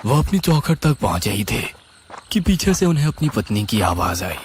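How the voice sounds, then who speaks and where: A man's voice: mid-range voice, big pitch swings, moderate pace, slight background noise. A man narrating a scripted horror story in a suspenseful, theatrical way. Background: music.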